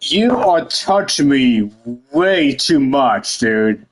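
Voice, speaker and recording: Slow, muttering man playing a game. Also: mid-range voice, sing-song, low-quality recording. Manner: muttering, repetitive, profane. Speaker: game player. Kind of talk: casual talk while playing a game.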